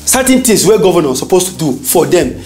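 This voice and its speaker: A man's voice: high voice, sing-song, brisk, a little mellow, faint music behind. A Nigerian man in his fifties explaining issues emphatically as a studio talk show guest. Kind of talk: explanatory panel discussion in Pidgin. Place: small indoor TV studio. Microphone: lapel mic.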